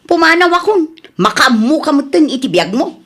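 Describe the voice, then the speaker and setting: A woman's voice: high voice, sing-song, moderate pace, fairly bright tone. A woman with an Ilocano Filipino accent acting as a restless, self-willed ex-partner in a radio drama. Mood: defiant.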